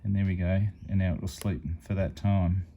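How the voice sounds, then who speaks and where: A man's voice: low-pitched, flat delivery, moderate pace, mellow. A man giving an informal, unscripted step-by-step product demonstration as a hobby reviewer.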